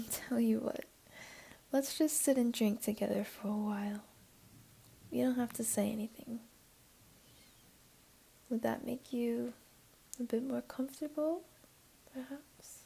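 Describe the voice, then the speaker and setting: Casting: woman to play teasing, doting jaguar girl for ASMR roleplay; soft, mellow, whispery, slightly high voice. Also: fairly even intonation, unhurried, long pauses, heavily acted. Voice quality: soft, breathy, often whispery. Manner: teasing, cooing, soothing. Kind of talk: ASMR roleplay, intimate one-to-one.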